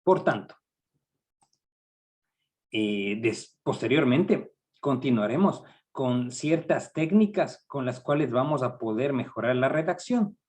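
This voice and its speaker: Middle-aged Ecuadorian man, university workshop leader; measured, halting delivery, mid-pitched. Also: animated, darkish tone. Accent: Ecuadorian Spanish. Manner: measured, hesitant with frequent fillers. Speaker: university research director giving a workshop. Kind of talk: academic training seminar.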